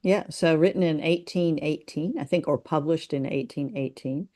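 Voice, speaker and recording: A woman co-hosting a podcast, explaining things in casual discussion and thinking aloud in a halting way. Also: deep voice, warm tone. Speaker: podcast co-host.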